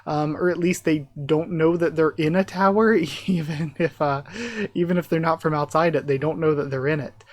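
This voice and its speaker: Guy doing a casual reaction video, slightly high voice, kinda thinking out loud and guessing as he goes. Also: fairly even intonation, moderate pace, no echo. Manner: thinks aloud, speculative, chuckling asides. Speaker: YouTube reaction host. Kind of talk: live reaction, reading comic dialogue aloud with casual commentary.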